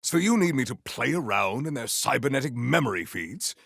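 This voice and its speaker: An actor playing a proud, formal sci-fi warrior in a video game, speaking in a grandiose, deliberate and earnest way. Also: male voice, medium pitch, sing-song, creaky, fairly bright tone, no echo, clean studio sound, heavily acted. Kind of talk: video game character voice lines.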